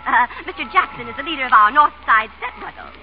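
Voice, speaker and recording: High, animated, pretentious society wife. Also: female voice, fast, faint music behind. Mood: prim pride. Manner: prim, pretentious. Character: affected, genteel, social-climbing small-town wife. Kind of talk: 1930s-40s radio comedy acting. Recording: muffled, hissy old radio broadcast.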